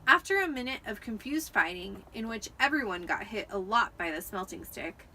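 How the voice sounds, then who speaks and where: A woman's voice: medium pitch, animated, moderate pace, sharp tone, a little hiss or hum. A tipsy woman giving a dramatic amateur audiobook narration. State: tipsy from wine.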